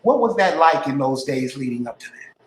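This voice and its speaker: A man's voice: medium pitch, very animated, fairly quick, echoey room. A man hosting a casual interview, blunt and profane, repeating himself to press his guest. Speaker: host.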